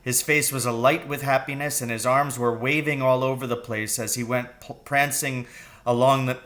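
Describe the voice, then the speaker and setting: A man's voice: mid-range voice, steady tone, normal speed, fairly bright tone. A man reading a children's story aloud in a scripted narration style.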